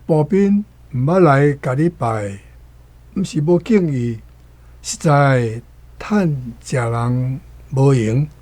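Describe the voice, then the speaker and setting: Slow, deliberate Taiwanese male explainer. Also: mid-range voice, a little mellow, dry recording. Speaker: guest commentator. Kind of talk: explaining wording of a story, lesson-like talk.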